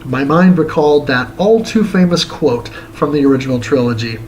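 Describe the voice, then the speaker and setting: A man's voice: on the high side, expressive, normal speed, a little mellow, slight background noise. A man hosting a retro game review series, reading his scripted review aloud in a theatrical, tongue-in-cheek way.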